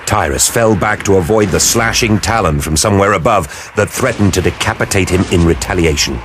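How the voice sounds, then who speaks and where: A man performing dramatic scripted narration of a science fiction war story, grave, theatrical and deliberate in his delivery. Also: low voice, background noise. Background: dramatic music with battle sound effects.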